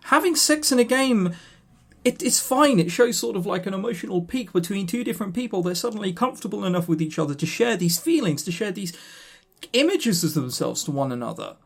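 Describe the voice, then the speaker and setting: A man co-hosting a podcast, chatting casually and opinionatedly about games in a halting, animated way. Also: sits high, creaky, husky. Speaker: podcast co-host.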